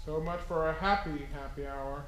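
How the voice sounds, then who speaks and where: A man's voice: fairly high pitch, fairly even intonation, normal speed, close to an everyday voice. A man playing a weary, sardonic character in a staged dramatic reading, dry and evasive in manner. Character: weary, sardonic young man short of money. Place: small theatre stage. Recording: echoey theatre, some noise.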